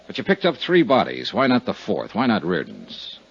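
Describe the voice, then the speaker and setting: A low male American voice that swings in pitch, a tough, wry insurance investigator from an old radio detective serial. The delivery is clipped and dry, on a dull vintage recording with music around it. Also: wildly swooping pitch, moderate pace, creaky, a bit bright, husky, heavily acted. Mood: probing, dry. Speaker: radio drama lead actor and narrator. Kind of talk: 1950s radio detective acting, first-person narration.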